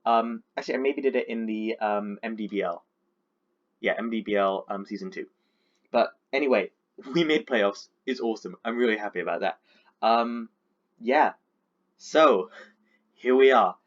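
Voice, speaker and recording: A man's voice: lowish voice, normal speed, pauses often, lo-fi sound. A man with a sore throat from being sick, chatting casually and rambling with lots of fillers as he breaks down his team. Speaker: competitive Pokemon league coach.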